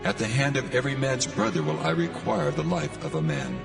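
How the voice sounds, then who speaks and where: A solemn, commanding God played by a male actor with a heavily gravelly voice that rises and falls expressively. The declamation rings through long reverb over music in a dramatized scripture reading. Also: fairly high pitch, animated, fairly quick. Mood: stern, commanding. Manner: solemn, declamatory, archaic phrasing.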